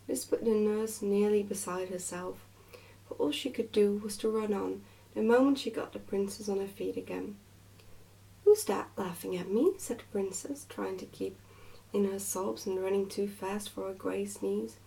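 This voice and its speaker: A woman gives an ASMR reading of a children's storybook. Her tone is soft and dark with noticeable fry, and she sits a little way from the mic. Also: mid-range voice, fairly even intonation, normal speed, distant mic, rough recording. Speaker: reader, ASMR channel host.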